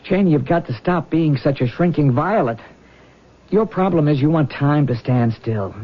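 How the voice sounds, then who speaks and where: An actor playing an earnest young soldier in love in a radio drama, speaking haltingly and eagerly. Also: male voice, on the high side, animated. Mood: frustrated, pleading. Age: young adult. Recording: muffled old radio broadcast.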